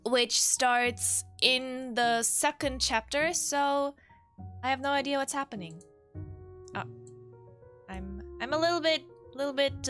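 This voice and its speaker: A woman streaming a game, chatting casually and reading story text aloud, often hesitant and interrupting herself. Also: fairly high pitch. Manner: hesitant, self-interrupting, soft exclamations. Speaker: VTuber streamer. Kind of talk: casual game livestream chat with read-aloud visual novel text. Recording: music playing behind the voice. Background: soft piano music.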